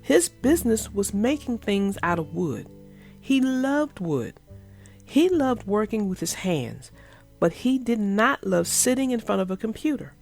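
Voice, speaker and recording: Expressive, creaky-voiced woman reading storybook. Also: mid-range voice, measured pace, faint music behind. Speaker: children's book narrator. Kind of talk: scripted storybook read-aloud.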